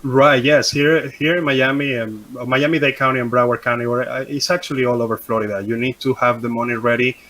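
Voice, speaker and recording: A man's voice: mid-range voice, rough recording. Venezuelan-born man telling his story. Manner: halting, many ums and restarts, relaxed. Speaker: guest. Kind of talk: casual interview storytelling.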